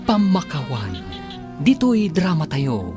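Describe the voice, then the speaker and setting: Animated Ilocano male radio narrator over music. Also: fairly high pitch, slow. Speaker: radio drama narrator and announcer. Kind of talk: scripted dramatic narration.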